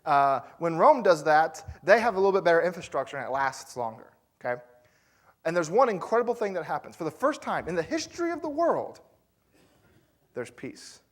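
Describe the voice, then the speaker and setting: A male pastor preaching with a fairly high voice. He talks loosely and conversationally, full of fillers and quick asides to the congregation. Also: big pitch swings, a bit bright. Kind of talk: sermon.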